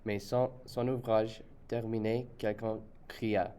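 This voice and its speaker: Mellow, lowish young man reading a story. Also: little pitch movement, slow pace, smooth. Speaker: student reader. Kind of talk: read-aloud children's storybook narration.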